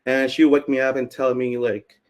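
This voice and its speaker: A man's voice: medium pitch, flat delivery, a little fast. A man from Peru whose first language is Spanish speaks in a middle-range voice. He presents informally, telling personal stories in a loose, conversational manner with frequent casual filler words. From Cusco, Peru. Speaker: speaker, community founder presenting.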